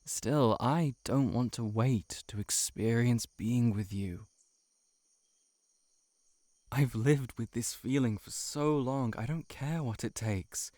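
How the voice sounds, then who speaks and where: Man acting as slow-speaking, pleading lovelorn shapeshifter. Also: mid-range voice, a little mellow, dry recording, close to an everyday voice. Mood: tender, yearning. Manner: hesitant, halting, emotionally pleading. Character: lovelorn shapeshifting monster posing as a friend, earnest and pleading. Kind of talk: intimate audio roleplay acting.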